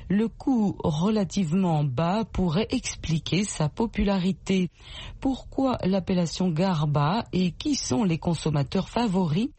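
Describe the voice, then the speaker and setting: A woman hosting a radio program, presenting the segment in a calm, unhurried announcing voice. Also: fairly low pitch, slightly rough, no echo.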